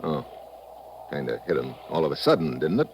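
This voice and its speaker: A man's voice: very deep voice, big pitch swings, fairly quick, darkish tone, slight background noise. A man acting a tough, wary undercover diver in a vintage radio drama, speaking and narrating in terse, colloquial style. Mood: guarded, probing. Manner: terse, hard-boiled, colloquial. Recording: vintage radio recording with background music.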